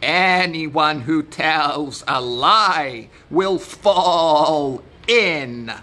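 Woman voicing a sensible, slow-spoken storybook goat. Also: sits low, a bit bright, full character voice. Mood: firm. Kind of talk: children's storybook character voice.

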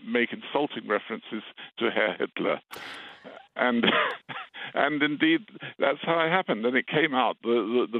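A man on a radio panel explains film history. His voice is lowish and comes through a dry, thin phone line. He stumbles at first, then settles into a storytelling explanation. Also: no room sound.

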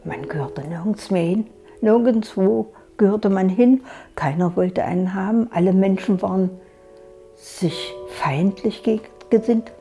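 Dark, gravelly older woman remembering. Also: mid-range voice, measured pace, soft background music. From Germany. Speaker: eyewitness interviewee. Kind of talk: documentary interview recollection. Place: living room with a sofa, indoors.

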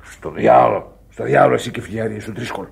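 Male actor as a low gravelly seaman, curt, swearing, radio play. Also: exaggerated voice. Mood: irritated. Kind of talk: vintage radio drama acting.

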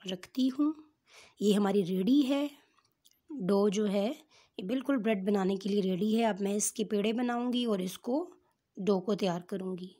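A woman's voice: mid-range voice, mellow. A woman with a Pakistani Urdu accent hosting a cooking channel, explaining each step of a recipe patiently and with frequent fillers.

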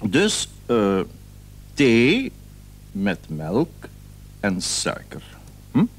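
An actor playing an anxious, long-suffering patient who stammers and repeats himself in a radio drama. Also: male voice, fairly low pitch, wildly swooping pitch, very slow, full character voice. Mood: fussy. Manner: hesitant, stammering, repeating words. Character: anxious, long-suffering male allergy patient, hesitant and plaintive. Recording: old radio recording, faint hum.

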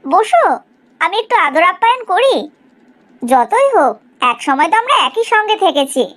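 A woman voicing a proud, sharp-tongued middle-aged village mother in a cartoon story, in an exaggerated, gossipy way. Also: extremely high pitch, sing-song, normal speed, full character voice. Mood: probing, pointed. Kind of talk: Bengali cartoon story dialogue.

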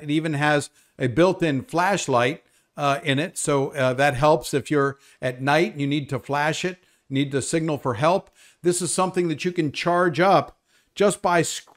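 Weather presenter, older man, slightly raised pitch, talking through live updates off the cuff. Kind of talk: unscripted live weather commentary.

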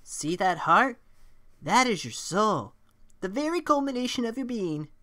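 A woman's voice: mid-range voice, very animated, a little slow, sharp tone. A woman voicing a sadistic young talking flower from a video game, folksy and saccharine with menace underneath. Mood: sugary, coaxing. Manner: saccharine folksy cheer turning to menacing sneer. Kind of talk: video game character impression.

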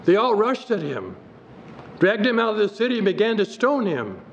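An older male pastor reads a sermon from his notes in a steady, measured way, his voice a little high for a man. The sound is dull and slightly muffled, with a long hall reverb and faint noise from the sanctuary around him. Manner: measured, reads scripture aloud from the page. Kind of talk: sermon read from notes at a pulpit. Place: church sanctuary.

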